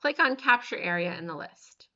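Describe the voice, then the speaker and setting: Bright-voiced woman narrating a tutorial. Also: lowish voice, expressive, normal speed, muffled recording. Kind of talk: scripted instructional narration.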